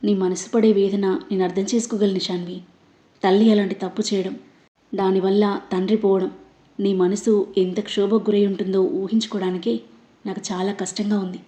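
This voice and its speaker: A woman voicing a calm, kind man who gently comforts and defends his wife. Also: medium pitch, fairly quick, reverberant, close to an everyday voice. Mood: soothing. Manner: gentle, soothing.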